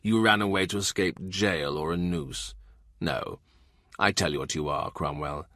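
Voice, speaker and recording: Man playing an ironic statesman, quiet and scornful, murmuring low and dry. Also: a little slow, dry recording, full character voice. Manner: soft, low, amused murmur.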